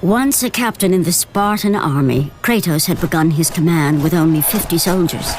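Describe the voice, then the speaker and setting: A woman narrating an epic video game as a grave storyteller of Greek tragedy, measured and dramatic. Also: on the low side, background noise, lightly acted. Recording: orchestral game music behind.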